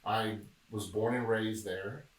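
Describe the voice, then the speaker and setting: A middle-aged man from Memphis, Tennessee, talking casually as a podcast guest and telling stories with a joking, relaxed manner. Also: lowish voice, slow. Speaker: podcast guest, film producer. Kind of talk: casual interview chat. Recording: echoey room, distant mic.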